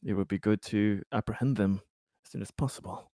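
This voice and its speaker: A man casually discussing plans as a player in a tabletop game, with hesitant, deliberate phrasing. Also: lowish voice, dark tone, no room sound, clean studio sound.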